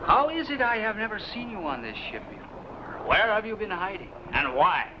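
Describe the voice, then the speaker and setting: An actor playing a scheming Spanish sailor who questions people with sly menace, in an old adventure serial. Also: male voice, high-pitched, very animated, normal speed. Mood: menacing suspicion. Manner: sly, interrogating, sardonic. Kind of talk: old-time science fiction adventure serial acting. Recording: noisy old serial soundtrack, constant background hiss.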